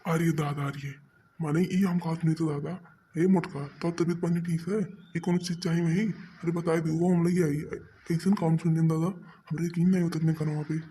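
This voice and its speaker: A man's voice: a little high, flat delivery, normal speed, warm tone, a little hiss or hum, close to an everyday voice, rough recording. A man with an Awadhi accent voicing a teasing, incredulous village husband in a comedy cartoon. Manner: mocking, teasing.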